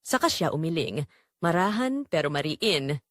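A woman's voice: deep voice, very animated, normal speed, dry recording, clean studio sound. A woman narrating a scripted romance drama in the first person, with an emotive, sorrowful delivery.

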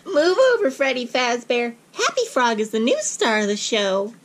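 A man's voice: very high voice, very animated, moderate pace, fairly bright tone, pitched above the performer's own voice, full character voice. A man voicing a showy, exclamatory little animal animatronic from a horror game. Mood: perky, boastful. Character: squeaky indignant chimp and perky frog animatronic performers. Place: small room at home.

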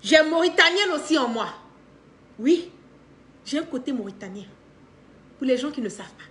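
A woman's voice: high voice, moderate pace. A middle-aged woman with an Ivorian accent giving an impassioned, unscripted political monologue to camera, repeating phrases for emphasis. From Ivory Coast. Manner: emphatic, repeats phrases for stress, animated with hand gestures. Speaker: political commentator on a live stream. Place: indoor living room.

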